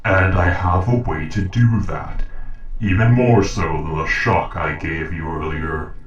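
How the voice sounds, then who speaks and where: A man performs a logical, courteous humanoid robot from a sci-fi audio roleplay. The voice is low and very unhurried, with formal, precise diction. It sits back from the microphone, questioning a captive with measured care. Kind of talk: scripted audio roleplay monologue. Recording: roomy echo, distant from mic.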